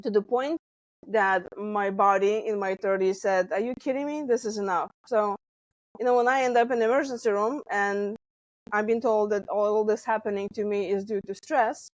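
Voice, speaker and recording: A woman's voice: mid-range voice, normal speed, dry recording, rough recording. A woman in her forties from Lithuania with a Lithuanian accent, a stress coach telling her story animatedly in a podcast interview. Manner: animated storytelling with laughs and acted quotes. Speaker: guest, stress management coach.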